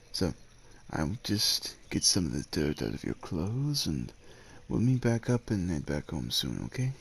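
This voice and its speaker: A devoted werewolf suitor, acted by a man, speaks in an extremely low, husky voice that swoops widely in pitch. He is tender and a little nervous, with courtly old-fashioned phrasing, as in an intimate ASMR roleplay. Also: full character voice. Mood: gentle, reassuring. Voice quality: gravelly, husky, very deep. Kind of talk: ASMR roleplay, acted monologue addressed to the listener.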